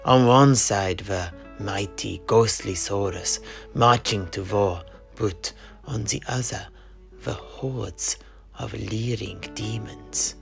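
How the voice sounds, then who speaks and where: A man acting a mercenary knight who tells an old family tale in an audio drama. His delivery is slow and measured with a creaky edge, over soft plucked guitar. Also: lowish voice, heavily acted. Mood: solemn, mysterious. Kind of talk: scripted audio play storytelling. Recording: plucked guitar music underneath.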